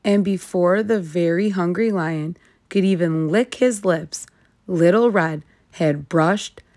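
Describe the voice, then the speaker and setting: A woman narrating a children's story as a read-aloud, deliberately stressing and stretching key words. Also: lowish voice. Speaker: storybook reader, teacher.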